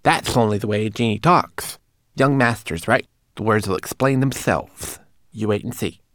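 A man's voice: lowish voice, moderate pace, a bit bright, no room sound, voice pushed up, natural voice. A man voicing a small, anxious male homunculus who stammers nervously. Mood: eager.

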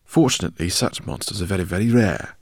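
A man voicing an excitable, eccentric older male professor who speaks in effusive, fussy exclamations. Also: sits low, fast talker, dry recording, studio quality, pitched above the performer's own voice. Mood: explaining. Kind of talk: audiobook character reading.

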